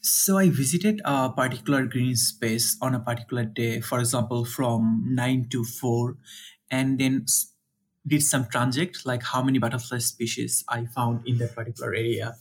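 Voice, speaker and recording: A young man from Bangladesh, a conservation researcher answering interview questions in a soft, easygoing way with frequent fillers and laughs. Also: medium pitch, fairly even intonation, moderate pace.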